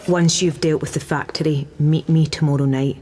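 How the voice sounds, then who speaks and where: An actress playing a practical, calm British resistance boat pilot in a video game cutscene, measured and conciliatory, with a British accent. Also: female voice, deep voice, big-room sound, slight background noise, close to an everyday voice. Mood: resolute.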